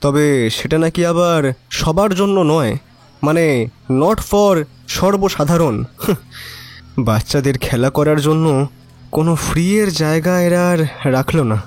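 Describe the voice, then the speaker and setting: A man's voice: a little high, slow pace, long pauses, faint music behind. An actor playing a cynical, resigned young neighbourhood man, talking colloquially and wryly in an audio drama. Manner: colloquial, emphatic repetition, wry. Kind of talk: Bengali audio drama acting.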